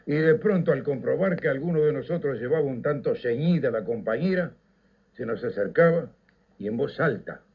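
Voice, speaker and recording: An older man with a Uruguayan Rioplatense accent, telling nostalgic stories on radio in a theatrical, declamatory way with dramatic pauses. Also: medium pitch, expressive, husky. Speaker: radio storyteller and host. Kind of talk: nostalgic scripted radio narration. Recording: slightly dull, lo-fi radio recording.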